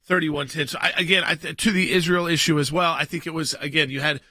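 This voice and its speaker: A man's voice: on the high side, fairly even intonation, quick pace, bright, forceful tone, dry recording, clean studio sound. A man hosting a political talk radio show, chatting casually with his co-host and reading out names. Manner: conversational, banters with co-host, reads out lists.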